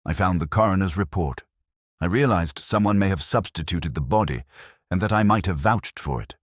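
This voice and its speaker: A man's voice: deep voice, normal speed, dry recording, studio quality. A man voicing a polite but evasive professional under suspicion, guarded and defensive, in an audiobook mystery. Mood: defensive, worried. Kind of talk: audiobook detective story dialogue.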